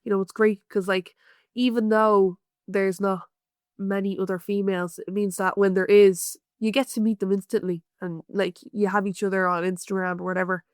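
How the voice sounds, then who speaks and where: A woman's voice: mid-range voice, level tone, moderate pace, dry recording, studio quality. A young woman with an Irish accent, a guest stonemason talking casually and laughing easily, full of fillers. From Ireland. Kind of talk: casual interview chat. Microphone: remote call.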